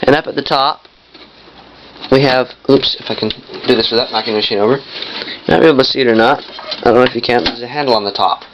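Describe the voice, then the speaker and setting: A young man giving a casual hands-on demonstration in a halting, piecemeal way, often correcting himself. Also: medium pitch. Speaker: vintage audio collector demonstrating a machine. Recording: muffled lo-fi camcorder sound, noisy.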